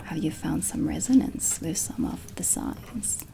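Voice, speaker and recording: Slow, soft-spoken woman, half whispering. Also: deep voice, animated. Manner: soft, breathy, measured teaching delivery with deliberate pauses. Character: dark witch teacher, stern and aloof. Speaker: witch academy teacher in an ASMR roleplay. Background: ambient music.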